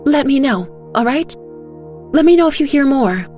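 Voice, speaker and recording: Woman doing a shrill, furious Gloria. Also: animated, normal speed, a little mellow, soft background music. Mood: tense. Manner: shrill, demanding outbursts.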